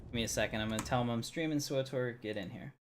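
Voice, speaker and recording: A man's voice: medium pitch, fast, background noise. A man casually commenting on a game as he streams it, rambling and easygoing with plenty of fillers. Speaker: livestream gamer.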